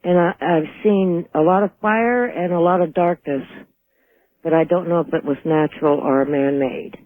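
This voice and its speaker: Higher-voiced male caller, thin phone line. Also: normal speed. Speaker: radio show caller. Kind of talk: recounting on call-in radio. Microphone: telephone.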